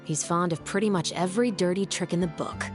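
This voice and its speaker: A woman's voice: lowish voice, fairly quick, over music, close to an everyday voice. An actress playing a stern young woman officer who speaks in a level, dryly teasing way.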